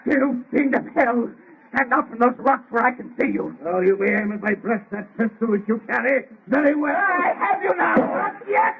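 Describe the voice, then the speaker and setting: A man's voice: very high-pitched, expressive, normal speed, vocal fry, phone-quality sound, exaggerated voice. A man playing a frantic, grief-stricken scientist sworn to revenge, in overwrought old radio melodrama. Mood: furious. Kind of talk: 1930s radio melodrama acting. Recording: band-limited, noisy old radio recording.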